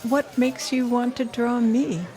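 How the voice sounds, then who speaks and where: A woman acting in an audio drama as a guarded, wealthy businesswoman with a measured, dryly teasing delivery. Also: on the high side, fairly even intonation, dark tone. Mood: guarded, amused. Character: dry, guarded, wealthy woman steel mill owner. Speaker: actor.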